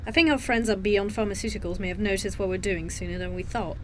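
A woman's voice: mid-range voice, fast talker, slight background noise, close to an everyday voice. A woman playing a tough, world-weary crew member in an audio drama, wry and understated, by turns menacing and compassionate. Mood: concerned.

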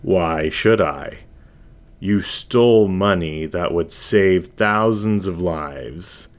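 A man voicing an unseen avenger from the shadows, menacing and taunting in a slow, drawn-out manner. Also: sits low. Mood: accusing.

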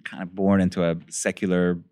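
A man in his thirties, originally from Iran, talking as a guest in a measured way and searching for words. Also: low-pitched, little pitch movement, darkish tone, smooth, no room sound, studio quality. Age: 30s. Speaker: podcast guest, newspaper editor. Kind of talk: casual interview talk.

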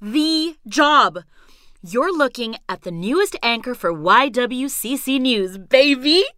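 An actress playing an excited, boastful woman proudly sharing career news in an audio drama. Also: high voice, takes it slowly, no echo, clean studio sound, full character voice. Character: excited, boastful young woman announcing a new TV anchor job.

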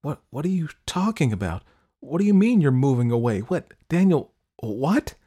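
A man's voice: fairly high pitch, very animated, measured pace, a little mellow, voice pushed up, full character voice. A man voicing a lovestruck, defiant seventeen-year-old girl in an audiobook, emotional and emphatic. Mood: shocked, upset. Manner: dramatic, emphatic. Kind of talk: audiobook character acting.

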